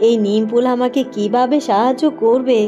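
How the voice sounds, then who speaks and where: A woman's voice: a little high, steady tone, normal speed, dark tone, lo-fi sound, faint music behind, lightly acted. A woman voicing a meek, polite, dutiful young farmer's wife in a cartoon fairy tale.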